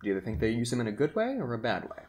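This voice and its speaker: A man's voice: medium pitch, big pitch swings, rapid-fire, darkish tone. A man hosting a casual group chat, asking questions and approving of the answers in an encouraging way.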